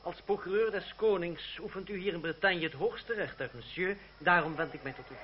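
An actor playing a defiant, principled young lawyer in a radio drama, speaking formally and with measured, stubborn politeness. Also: male voice, high voice, lightly acted. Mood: formal, insistent. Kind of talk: Dutch radio drama acting. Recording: muffled, noisy, humming old radio recording.

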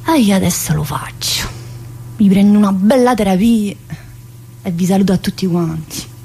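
A woman's voice: mid-range voice, moderate pace, vocal fry, dark tone, slightly rough. An actress playing a restless, needy, brash woman prisoner in a theatrical monologue, speaking colloquial, dialect-laced Italian with a southern accent. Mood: bitter, defiant. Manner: colloquial, dialect-laced, addressing an unseen teacher.

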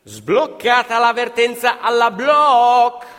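An actor playing a proud, declamatory storyteller of workers' struggles in a radio theatre piece. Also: male voice, extremely high pitch, slow, fairly bright tone, exaggerated voice. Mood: loud proclaiming. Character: proud male storyteller recalling workers' struggles, breaking into shouted headlines. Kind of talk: stage radio theatre narration in Italian. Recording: reverberant hall, faint live music behind. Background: faint live music.

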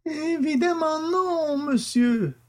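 High-voiced, plaintive old scientist. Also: male voice, slow pace, a little mellow. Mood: earnest, plaintive. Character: elderly scientist father, earnest witness under questioning. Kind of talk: audiobook character acting.

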